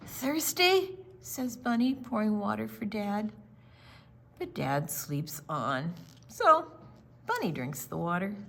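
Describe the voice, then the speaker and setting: Slow, highly expressive woman reading a storybook. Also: vocal fry, a little hiss or hum. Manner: gentle, dramatic pauses, drawn-out words. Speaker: storytime reader. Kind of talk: reading a picture book aloud for young children.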